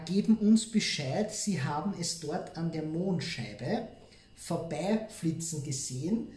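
Higher-voiced, mellow Austrian man. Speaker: co-host. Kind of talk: casual chat, commentary. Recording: echoey room, faint background noise.

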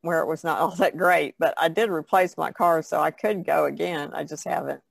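A woman's voice: low-pitched, steady tone, fairly quick, gravelly, no room sound. A woman chatting casually as a podcast guest, in a plainspoken way. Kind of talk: casual chat.

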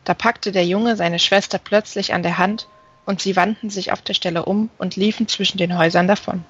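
A woman's voice: medium pitch, fairly even intonation, brisk, husky. A woman reading a fantasy novel aloud as scripted literary narration. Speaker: audiobook reader. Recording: slightly dull recording.

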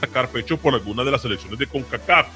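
A male TV panelist with a gravelly voice arguing indignantly in a heated debate. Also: medium pitch, fast talker, sharp tone. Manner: argumentative, indignant. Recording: music under the voice.

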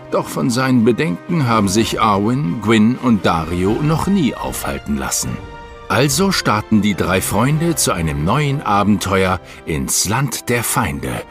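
A man narrating a children's audio drama from a script in a storytelling style. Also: fairly low pitch.

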